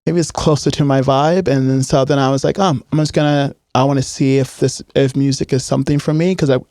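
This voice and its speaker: This is a young man who grew up on Hawaii's north shore, talking about himself in a relaxed, meandering way. His voice sits in an ordinary middle range. The recording is dry and close, with no room sound. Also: medium pitch, brisk, dry recording. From Hawaii, Oahu north shore. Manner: relaxed, rambling with many "like"s and "um"s. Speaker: musician guest. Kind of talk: casual interview chat.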